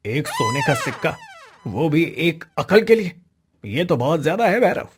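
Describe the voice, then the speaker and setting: A man's voice: on the high side, wildly swooping pitch, measured pace, music in the background. A man playing an exasperated, fretful royal minister and father in a cartoon story. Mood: exasperated, worried.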